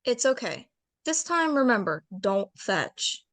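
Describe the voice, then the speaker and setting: A woman's voice: fairly high pitch, very animated, takes it slowly, a bit bright, full character voice. A woman voicing an earnest female bear who makes a pleading speech to a council of animals, in an amateur radio drama table read. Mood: coaxing.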